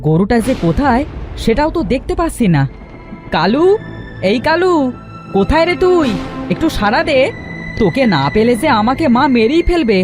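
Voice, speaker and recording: A woman's voice: sits high, very animated, moderate pace, pauses often, music in the background. A woman voicing a timid, obedient village boy in a cartoon, full of anxious questions and exclamations. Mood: anxious, frightened. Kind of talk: Bengali cartoon voice acting.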